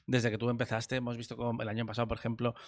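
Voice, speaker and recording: A man's voice: mid-range voice, monotone, racing, no echo, clean studio sound. A man interviewing film people at a festival, asking long, winding questions with hesitations.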